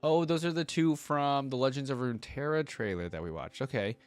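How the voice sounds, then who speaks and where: A man's voice: medium pitch, fairly quick, clean tone, dry recording. Male reaction host, mid-range voice, chatty and enthusiastic off-the-cuff commentary, dry sound.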